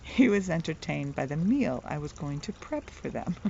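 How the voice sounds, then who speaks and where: A middle-aged woman with a soft voice, telling a playful, chatty vlog story outdoors and laughing at her own jokes. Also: deep voice, very animated, measured pace, gravelly, slight background noise. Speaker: vlogger. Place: outdoors, near the water. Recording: dull lo-fi outdoor recording.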